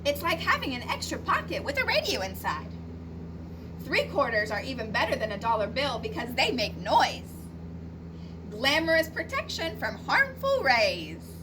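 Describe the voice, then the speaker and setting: Woman as eager young mouse girl, storybook, high pitch, very animated, childlike emphasis, distant mic. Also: noisy background. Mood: proud. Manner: emphatic, childlike, drawn-out repetition. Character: excitable little girl mouse, remorseful and eager.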